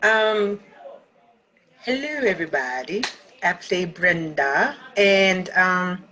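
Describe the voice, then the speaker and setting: Slow, halting woman, bright voice. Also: on the low side, expressive, poor audio. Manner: halting, reflective. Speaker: actor on a panel. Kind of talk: casual interview answer. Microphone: video call.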